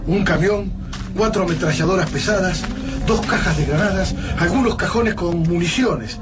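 A man's voice: high-pitched, normal speed, lightly acted. An actor with an Argentine accent playing an embattled male resistance leader, barking urgent commands. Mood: weary. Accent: Argentine Spanish. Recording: distant voice under loud music.

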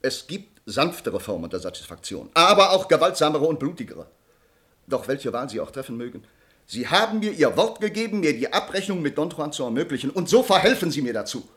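A man's voice: on the high side, very animated, fairly quick, fairly bright tone. An actor playing an earnest, honour-bound nobleman, speaking formally and with passion in a classic radio play. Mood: stern, solemn. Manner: formal, impassioned, declamatory. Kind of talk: 1950s German radio play acting of a classic comedy.

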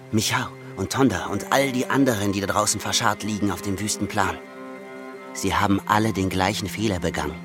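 A man acting a shrewd mill apprentice who poses as a fool in a radio play. The voice is low, deliberate and knowing, with a secretive air. Music plays clearly behind him. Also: natural voice. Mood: stern warning.

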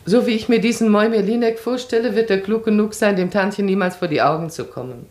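An actress playing a self-assured, perceptive baroness in a radio play, speaking decisively, eloquently and with emphasis. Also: female voice, medium pitch, fast talker, darkish tone, close to an everyday voice. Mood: insistent, impassioned.

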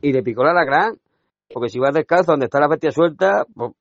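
A brash, crude drummer bursting with rowdy energy, as a man plays him. He races through his telling of the wreckage and swears freely. Clear music plays underneath. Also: on the high side, animated, quick pace, highs cut off. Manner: brash, repetitive, swears, calls listener brother. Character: fast-talking rowdy male drummer, crude. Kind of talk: mockumentary radio drama testimony.

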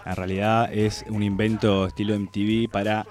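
A man with a Rioplatense Argentine accent co-hosts a casual radio chat. His voice is on the low side and his talk is slangy, with faint music behind him. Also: little pitch movement, moderate pace, darkish tone. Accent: Rioplatense Argentine Spanish. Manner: informal, slangy. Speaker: radio panel co-host. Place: radio studio.

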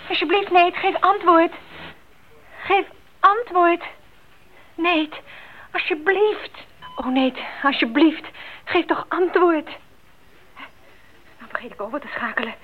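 A woman's voice: very high-pitched, slow, pauses often. A woman playing a caring, anxious radio operator at an outpost in a radio play, speaking earnestly in procedure talk. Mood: frantic, pleading. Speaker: radio drama actor. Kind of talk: radio drama acting. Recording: tinny, phone-like radio drama sound.

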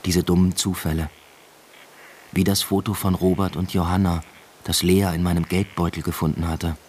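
An actor playing a brooding husband and father, narrating a literary radio drama in a measured, introspective way. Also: deep voice, flat delivery, darkish tone, slight background noise, natural voice. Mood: reflective.

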